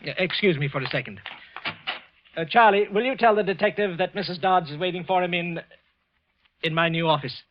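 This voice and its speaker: An American actor playing a guilt-ridden office worker and murderer sliding into paranoid madness, stammering and repeating himself in a 1940s radio thriller. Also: male voice, sits high, brisk, long pauses, slight background noise, natural voice. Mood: nervous, awkward. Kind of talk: 1940s American radio thriller acting, first-person narration. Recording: muffled old radio recording with music.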